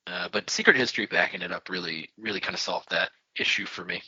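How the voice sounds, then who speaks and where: Texan guy cohost, really sharp bright voice, bit low, joking around, slightly lo-fi. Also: fast, slightly rough, dry recording. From Texas, United States. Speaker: podcast co-host. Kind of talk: casual chat.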